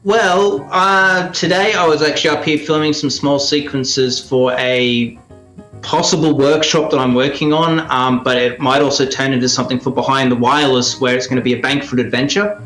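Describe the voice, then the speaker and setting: A man's voice: mid-range voice, steady tone, normal speed, a bit bright, faint music behind. Australian man talking in a roomy space. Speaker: radio play producer and performer, interview guest. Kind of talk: conversational interview answers.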